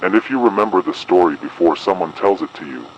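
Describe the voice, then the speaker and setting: A man playing an official announcer delivering a measured, formal, ominous emergency advisory in an analog horror broadcast. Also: low voice, lo-fi sound, slight background noise, lightly acted. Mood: grave, ominous. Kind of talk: scripted analog horror public broadcast narration.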